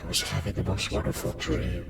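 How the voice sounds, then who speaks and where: Casting: male actor as creepy dreaming figure for analog horror, swooping pitch, creaky, hushed and slow. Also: expressive. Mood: eerie, dreamy.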